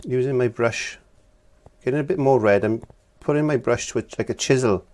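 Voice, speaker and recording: A middle-aged man from Wales with a croaky voice from laryngitis, talking plainly step by step as he teaches acrylic painting. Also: mid-range voice, moderate pace, a little mellow. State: hoarse from laryngitis. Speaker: art teacher. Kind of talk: painting tutorial.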